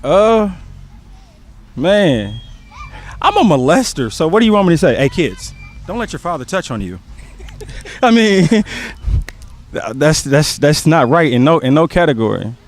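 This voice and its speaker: A man's voice: fairly high pitch, very animated, a little slow, pauses often. A young man, a stage actor, chatting casually in an interview, laid-back and joking, dropping "man" into his sentences.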